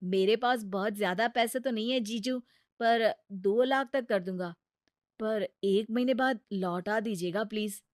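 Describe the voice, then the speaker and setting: A woman's voice: mid-range voice, measured pace, no room sound, studio quality, close to an everyday voice. A woman voicing a cheerful, proud young man, a successful brother-in-law, in a playful cartoon-story manner. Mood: obliging.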